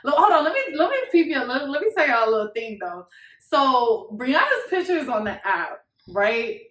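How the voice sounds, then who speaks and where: A woman's voice: sits high, very animated, moderate pace, fairly bright tone. Young American woman in an echoey room. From Miami, Florida, United States. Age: 20s. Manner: informal, storytelling, slangy. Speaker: YouTube couple vlogger. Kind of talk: casual chat to camera.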